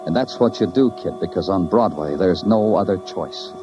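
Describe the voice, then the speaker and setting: An actor playing a moody, world-weary New York detective, narrating a hard-boiled radio drama in clipped, poetic, brooding phrases. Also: male voice, low voice, lively intonation, normal speed. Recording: dull old radio recording, loud music. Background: music.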